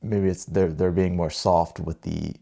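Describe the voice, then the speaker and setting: A man's voice: low voice, steady tone, a little slow, gravelly, mellow. An American man hosting a tarot livestream, chatting informally and rambling, often repeating his phrases. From United States, grew up in Ohio and Texas. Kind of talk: casual livestream chat and card reading.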